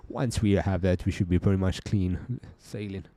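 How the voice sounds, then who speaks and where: A young South African man hosting a casual live stream, joking, laughing a lot and talking in relaxed slang. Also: sits low, normal speed, no echo. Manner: laid-back, joking, laughs often, slangy. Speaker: livestream trading host.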